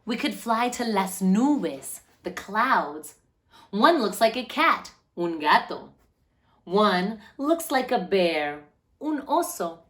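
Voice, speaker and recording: A woman with a medium-pitched voice reads a picture book aloud to children. Her delivery is expressive and deliberate, lingering on key words as a storyteller does. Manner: animated, deliberate phrasing with drawn-out words.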